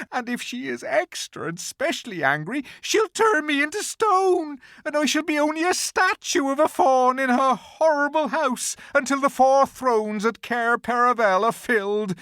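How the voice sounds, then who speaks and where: Timid gentle male faun for a storybook reading, high, tearful and drawn-out, voiced by the narrator. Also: no room sound, clean studio sound. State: crying. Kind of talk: audiobook character voice.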